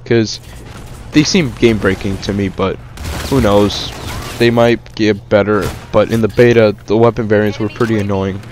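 A man's voice: fairly low pitch, fairly even intonation, normal speed, darkish tone, soft background music. A young man casually reviewing a shooter game over gameplay, rambling with many fillers and restarts. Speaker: gaming YouTuber.

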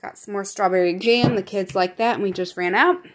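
A woman's voice: medium pitch, brisk, background noise. An American woman with a mid-range voice narrates casually at home, as if to regular viewers. She is chatty and matter-of-fact, speaking from behind a handheld camera. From Midwest US. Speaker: vlogger. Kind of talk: casual grocery haul narration. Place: indoors at home, dining room.

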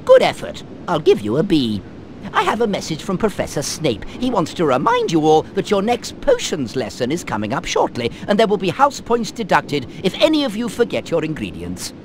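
High, swooping elderly professor. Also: male voice, wildly swooping pitch, normal speed, slight background noise. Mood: brisk, instructive. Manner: precise, formal classroom delivery. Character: elderly little male charms professor, kindly and fussy.

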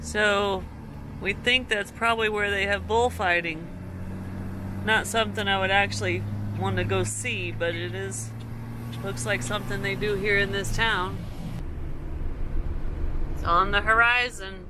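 A woman's voice: medium pitch, steady tone, a little slow, pauses often. A woman in her forties narrating a casual, upbeat travel vlog from a camper van, filmed on a handheld action camera. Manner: chatty, upbeat, narrating the drive. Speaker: travel vlogger. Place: camper van on the road and outdoors. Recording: road and engine noise behind.

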